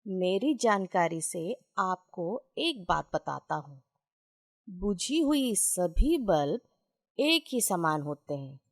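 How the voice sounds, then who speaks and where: A woman storyteller voicing a wise, humble old retired gentleman who gives measured, philosophical counsel. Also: on the low side, big pitch swings, no room sound, clean studio sound, pitched below the performer's own voice, exaggerated voice. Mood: philosophical, instructive.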